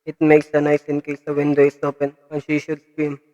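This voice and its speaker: A man's voice: on the high side, monotone, a little fast, darkish tone, lo-fi sound, slight background noise, close to an everyday voice. A man playing a businesslike criminal plotting over the telephone in a radio play, matter-of-fact. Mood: conspiratorial. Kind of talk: radio drama acting. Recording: long echoing reverb.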